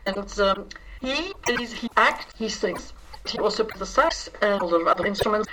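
A woman's voice: medium pitch, lively intonation, normal speed. A woman director, a guest in an interview, speaking hesitantly with many ums. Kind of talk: casual interview. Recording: distant mic with clear noise.

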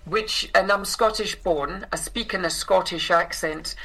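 A woman's voice: lowish voice, a little slow, a bit bright, poor audio. A woman with a South African accent, a singer and actress, talking about her show as an interview guest, with many fillers and restarts. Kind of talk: interview guest, casual chat.

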